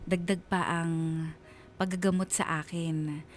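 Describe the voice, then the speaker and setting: A woman's voice: fairly low pitch, steady tone, measured pace, slight background noise. A woman radio host reading a listener's letter as heartfelt, dramatic scripted narration.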